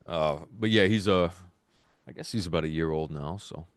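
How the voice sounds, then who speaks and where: A man's voice: deep voice, moderate pace, clear, resonant tone, no echo. A middle-aged man chatting casually as an interview guest, laid-back and joking. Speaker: guest, band vocalist. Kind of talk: casual chat. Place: indoor room on a video call. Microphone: boom-arm microphone.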